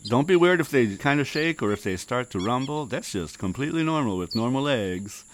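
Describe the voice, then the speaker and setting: A man's voice: mid-range voice, animated, brisk, a little hiss or hum, pitched above the performer's own voice, close to an everyday voice. A man voicing a shady egg vendor who puts on a thick, fake Eastern European accent and is overly reassuring. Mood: sly. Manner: sly, overly reassuring salesman.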